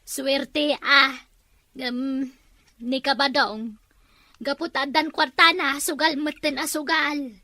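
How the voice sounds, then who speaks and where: A woman's voice: high voice, steady tone, slow, sharp tone, dry recording, exaggerated voice. A woman with an Ilocano accent playing a chatty neighbor who gossips in a comic drama. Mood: gossipy.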